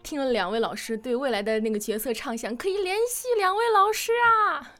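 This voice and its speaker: A woman's voice: sits high, lively intonation, fairly quick, a bit bright. A woman hosting a casual live interview, putting questions to her guests. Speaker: livestream host interviewing voice actors.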